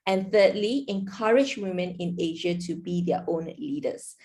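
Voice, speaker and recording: A woman's voice: on the low side, a little mellow. A woman hosting an online interview, introducing the series and her guest in a friendly, upbeat, chatty way. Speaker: host.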